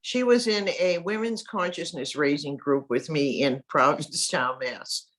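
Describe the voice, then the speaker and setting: A woman's voice: deep voice, measured pace, a bit bright. An older woman poet chatting about her influences, rambling with many fillers. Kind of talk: panel discussion after a reading.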